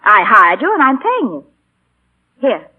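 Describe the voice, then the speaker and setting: A young woman acting in 1940s radio style as a self-assured artist, brisk, bantering and teasing. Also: fairly high pitch, wildly swooping pitch, breathy edge, heavily acted. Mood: brisk, amused. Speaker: radio actress. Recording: tinny, band-limited 1940s radio broadcast.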